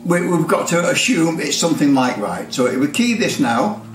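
A man's voice: on the high side, big pitch swings, moderate pace, slight background noise. An older man in his seventies with a British accent, casually explaining technical things to camera and sometimes repeating his words. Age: older, around 70s. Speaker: presenter of a CB radio channel. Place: small indoor workshop with bare brick walls. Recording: roomy echo, distant microphone.

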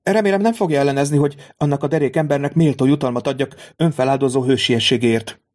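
A man voicing an aristocratic young mother, distraught and high-strung, in a high pleading voice for an audiobook. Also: a little mellow, natural voice. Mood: grateful. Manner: high, breathless pleading delivery. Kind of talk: audiobook reading of a classic novel.

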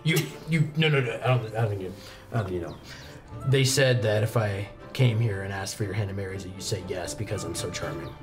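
Man acting a low, cocky farmhand. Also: quick pace, music in the background, close to an everyday voice. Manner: nonchalant, boastful. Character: cocky, muscular, tall farmhand suitor.

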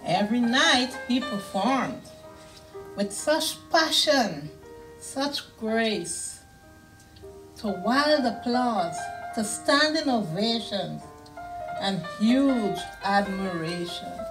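A middle-aged woman reading a picture book aloud to young children in a deliberate, expressive way, pausing to comment on the pictures. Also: a little high, distant mic, over music, rough recording. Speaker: children's storyteller. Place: small indoor children's reading room.

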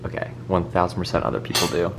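A man's voice: sits low, completely flat delivery, quick pace. A man chatting casually in a home kitchen, away from the camera. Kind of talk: casual chat. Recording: distant camera mic, clear kitchen noise.

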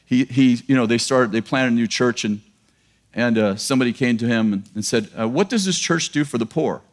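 A man's voice: medium pitch, brisk. A man in his fifties preaching a sermon in a large church auditorium to a live congregation, talking in a casual joking way and laughing at his own lines. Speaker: pastor. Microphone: headset mic.

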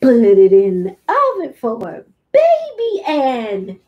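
A middle-aged woman giving a deliberate demonstration for parents of babies, sometimes in singsong baby talk, recorded at home on a webcam microphone. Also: fairly high pitch, wildly swooping pitch, darkish tone, dull audio. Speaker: early childhood teacher, host of infant read-along. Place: room at home.

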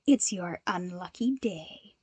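A woman voicing a cocky male robber boss in a podfic story, gleeful and sneering, with theatrical drawn-out taunts. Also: lowish voice, dark tone, breathy edge, no room sound. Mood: sneering, taunting.

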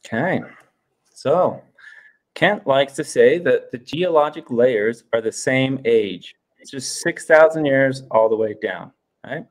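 A man in his thirties giving an informal debate presentation in a halting, explanatory way. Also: medium pitch, expressive. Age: 30s. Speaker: debater arguing for evolution. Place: indoors, on a video call.

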